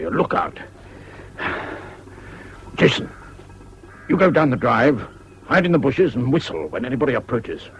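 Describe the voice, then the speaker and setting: An actor playing a deluded old-school English General with a clipped, barking military manner in a radio drama. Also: male voice, medium pitch, fairly bright tone. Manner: clipped, barking, repeats himself. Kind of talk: British radio drama acting. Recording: dull, hissy old radio recording.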